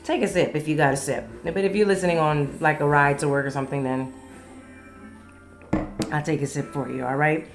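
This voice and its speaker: A woman's voice: deep voice, steady tone, fairly quick, long pauses, music in the background. A woman hosting a solo podcast in a casual, candid, playful way, swearing freely. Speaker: podcast host.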